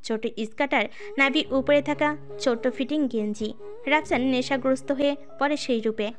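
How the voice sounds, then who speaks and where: A woman's voice: high-pitched, normal speed. A woman reading a scripted dramatic audio story as its narrator. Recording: music under the voice. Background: music.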